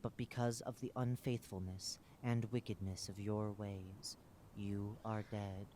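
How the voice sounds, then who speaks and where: A man's voice: on the low side, little pitch movement, dark tone, a little hiss or hum, lightly acted. Man as a grave unseen divine master. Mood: stern judgment. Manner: slow, grave scriptural pronouncements. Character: solemn unseen divine master passing judgment.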